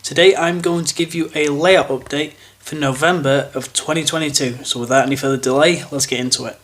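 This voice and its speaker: A young man talks in a casual, rambling way, thinking aloud with plenty of fillers and restarts. His voice sits a little high and sounds stuffy and bunged up from a cold. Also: steady tone, brisk, a bit bright. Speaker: model railway hobbyist. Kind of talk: casual show-and-tell walkthrough.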